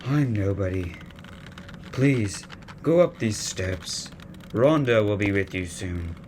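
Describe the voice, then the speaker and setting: A man playing a terse, gloomy, secretive guide who gives curt, deliberate orders in a family audio drama. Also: on the low side, lively intonation, a little mellow, slight background noise. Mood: gloomy, flat. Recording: music under the voice.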